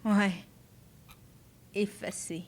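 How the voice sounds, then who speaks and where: A woman's voice: mid-range voice, fairly even intonation, very slow, slight background noise. A woman playing a bitter, sarcastic woman in her twenties, blunt and sardonic, in a French audio drama. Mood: bitter, angry. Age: 20s.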